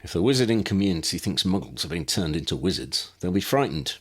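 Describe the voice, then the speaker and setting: A man reads as a young wizard officer in an audiobook. He is blunt and accusing, plain-spoken, with a low husky voice. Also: big pitch swings, quick pace, exaggerated voice. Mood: blunt, suspicious.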